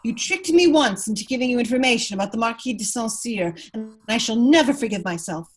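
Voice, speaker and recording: A woman's voice: fairly high pitch, animated, fairly quick, husky. A woman playing a proud, unhappy, neglected aristocratic wife in an amateur radio play read, earnest and wounded. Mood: guarded, defiant.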